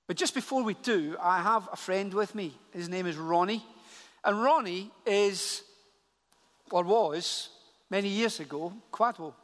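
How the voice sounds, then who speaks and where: A man's voice: sits high, a little slow, fairly bright tone. A man from Glasgow, a church leader making an earnest appeal to the congregation during a service. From Glasgow, Scotland. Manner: earnest, repeats phrases for emphasis. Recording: church hall reverb.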